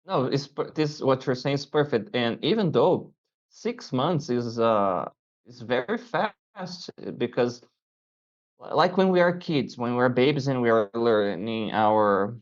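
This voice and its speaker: Male host, halting speech. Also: mid-range voice, animated, highs cut off. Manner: halting, many fillers and restarts. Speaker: podcast host. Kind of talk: casual interview chat.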